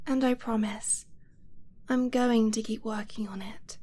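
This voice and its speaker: Slow, soft-spoken affectionate dragon girlfriend, acted by a woman. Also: on the high side, fairly even intonation, a little hiss or hum, natural voice. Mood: tender, earnest. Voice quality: soft, breathy, often dropping to a whisper. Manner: hesitant, earnest, slow with halting pauses. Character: affectionate, anxious dragon-blooded girlfriend confessing her treasure hoarding. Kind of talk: intimate romantic ASMR roleplay.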